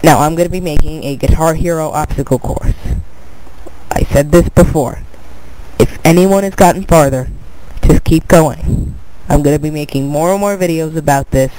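A man's voice: fairly high pitch, normal speed, mellow, slight background noise, rough recording. A young man with a stuffed-up nose, casually talking viewers through a screen-recorded tutorial, rambling and restarting his words. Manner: rambling, repeats "but anyway", restarts words. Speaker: tutorial video maker.

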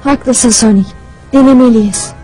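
A woman's voice: a little high, measured pace, mellow, faint music behind, lightly acted, poor audio. A woman playing an earnest, thoughtful young female squirrel freedom fighter in a cartoon dub, speaking with care. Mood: determined. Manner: earnest, careful. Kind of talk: animated cartoon dub acting.

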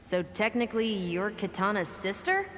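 A woman's voice: medium pitch, big pitch swings, normal speed, natural voice. An actress playing a cheeky, teasing young soldier woman in a video game. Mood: wry, puzzled. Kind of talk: video game character acting. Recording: long reverb, noisy background.

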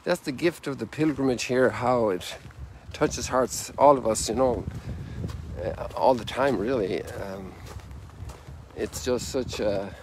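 A man's voice: medium pitch, slow pace. An older man in his sixties speaks as a priest hosting a livestream. He chats and reflects in a preaching vein, outdoors with some faint ambient noise behind him. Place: outdoors on a lakeshore.

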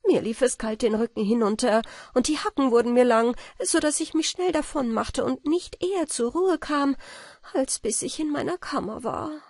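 A woman voicing an awed, excitable young village maidservant who tells a storybook tale with breathless wonder. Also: sits high, normal speed, no room sound, studio quality, voice pushed up. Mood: spooked.

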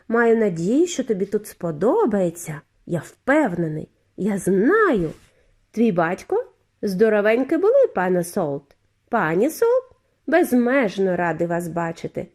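A woman voicing a giddy, eccentric factory owner in a storybook reading, with a high, clear, flute-like voice and effusive, drawn-out greetings. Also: a little mellow. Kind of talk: audiobook character voice.

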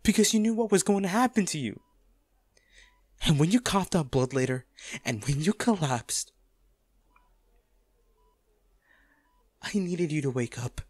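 A man playing a rich, ruthless man softened by love and grieving at a bedside, in a halting, emotional audio roleplay. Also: fairly high pitch, no echo, close to an everyday voice. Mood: anguished, pleading. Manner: halting, pleading, emotional delivery. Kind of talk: intimate audio roleplay acting.

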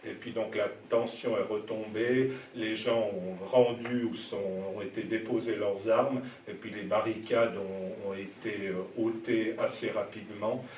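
A middle-aged man giving a history lecture at a lectern in a hall, telling the story a little haltingly. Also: on the low side, fairly even intonation, darkish tone. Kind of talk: public history lecture, semi-scripted storytelling. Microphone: gooseneck lectern microphone, some distance from mouth. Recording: echoey hall, distant mic, faint noise.

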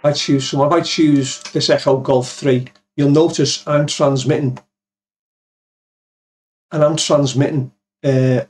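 A man's voice: fairly high pitch, steady tone, unhurried, a little mellow. Man giving a casual tutorial, slightly distant mic. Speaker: amateur radio operator giving tips. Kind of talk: casual explanatory screen tutorial. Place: indoors, voice-over of screen recording.

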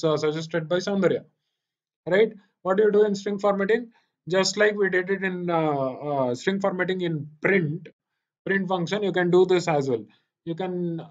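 A man with an Indian accent giving a live online programming lecture, repeating himself and often checking that students follow. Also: high voice. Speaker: programming teacher. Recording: dull, lo-fi online meeting audio.

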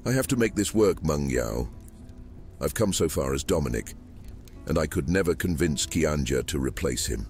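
A man playing a secretive, burdened ancient djinn living in a vampire's body, gravelly, in a fantasy audio drama. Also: extremely low pitch, animated, normal speed, darkish tone, faint music behind. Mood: troubled. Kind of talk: immersive fantasy roleplay audiobook acting.

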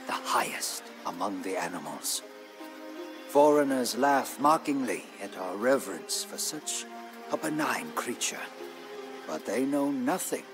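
An actor playing a proud, loyal Indonesian royal guard commander, narrating his tale in a solemn, weighty, measured storyteller's manner. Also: mid-range voice. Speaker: campaign narrator. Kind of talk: dramatic scripted narration. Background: music.

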